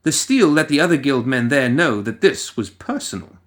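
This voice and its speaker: A man reading a story as a dramatic narrator, musing theatrically with deliberate pauses and rhetorical asides. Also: medium pitch, sing-song, a bit bright. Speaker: audio fiction reader.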